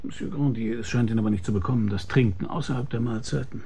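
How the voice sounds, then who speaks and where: An actor playing a cynical, world-weary investigator who narrates a radio drama in a dry, laconic, husky voice. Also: male voice, medium pitch, fast talker, mellow, slight background noise, natural voice. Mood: sardonic. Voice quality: gravelly, husky. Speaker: narrator and lead character. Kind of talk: hard-boiled radio drama narration and dialogue.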